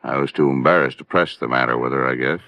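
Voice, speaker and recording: A man's voice: rumbling low, fast talker, no echo, full character voice. An actor playing a rueful, indignant American hotel manager telling his troubles expressively in an old radio drama. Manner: expressive, aggrieved storytelling. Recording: dull vintage radio recording.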